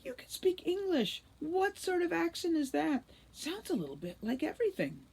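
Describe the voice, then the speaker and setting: A woman's voice: extremely high pitch, big pitch swings, normal speed, creaky, a little mellow, pitched above the performer's own voice, heavily acted. A woman reading a storybook, voicing a vain, gushing, teasing teenage girl captor. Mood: delighted.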